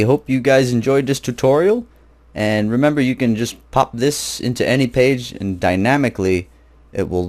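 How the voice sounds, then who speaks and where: A man's voice: lowish voice, darkish tone. A man teaching an informal screencast programming tutorial, explaining step by step in a casual, blunt, conversational way.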